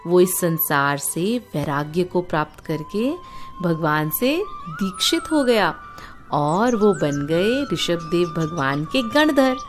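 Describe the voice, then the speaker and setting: An Indian woman narrates a moral tale for children, her pitch swinging in a lively way. She takes her time and explains things simply as she goes. Music plays clearly behind her voice. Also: takes it slowly. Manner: explanatory, asks the listeners questions and glosses terms. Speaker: storyteller for children. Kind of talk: animated moral storytelling for children.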